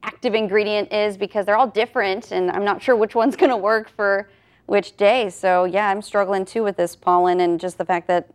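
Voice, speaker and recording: A woman's voice: mid-range voice, steady tone, normal speed. A woman in her thirties, a morning show meteorologist, friendly and conversational while giving the forecast. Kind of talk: weather forecast and casual chat.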